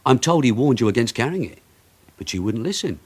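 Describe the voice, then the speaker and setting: An actor playing a shrewd, calculating police sergeant in a radio drama, pressing and needling with controlled questions. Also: male voice, mid-range voice, very animated, brisk, darkish tone, slightly rough. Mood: pressing, menacing.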